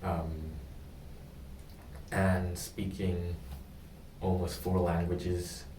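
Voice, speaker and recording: A young man from Indonesia answering questions in a practice job interview, pausing often with ums. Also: low voice, flat delivery, mellow, reverberant, far from the mic, a little hiss or hum, rough recording. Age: young adult. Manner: hesitant, many pauses and ums.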